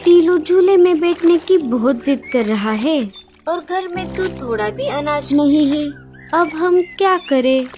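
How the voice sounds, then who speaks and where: A gentle, careworn mother bird played by a woman speaks in a high, earnest voice. Her cartoon dialogue is slow, with a light bed of music. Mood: worried. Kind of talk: animated children's story dialogue.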